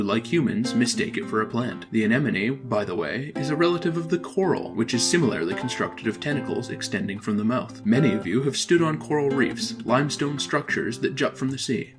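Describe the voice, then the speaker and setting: A man reading scripted educational narration in a measured, formal, explanatory manner. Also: medium pitch.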